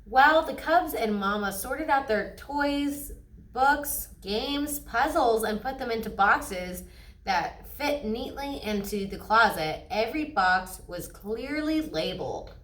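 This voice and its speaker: A woman reads a children's picture book aloud as a storyteller. Her delivery is expressive, her voice a bit high, and the room adds a clear echo. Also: slow pace, distant mic. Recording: echoey room.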